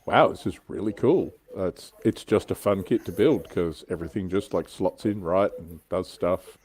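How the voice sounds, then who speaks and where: A man's voice: sits low, moderate pace, darkish tone. A man hosting a hobby livestream in casual, enthusiastic chat. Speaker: show host on a hobby livestream.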